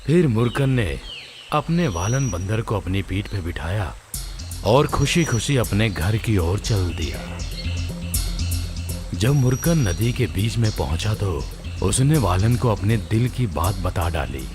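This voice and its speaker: A man's voice: lowish voice, big pitch swings, takes it slowly, over music. A man narrating a scripted children's story.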